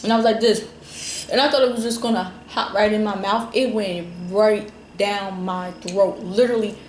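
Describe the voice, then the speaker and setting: A chatty woman from Jamaica hosts a food video, talking at an everyday middle pitch. She rambles loosely with plenty of fillers as she tells her stories. The room sounds echoey, with faint noise in the background. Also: steady tone, normal speed, darkish tone. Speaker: mukbang host. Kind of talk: casual chat while eating.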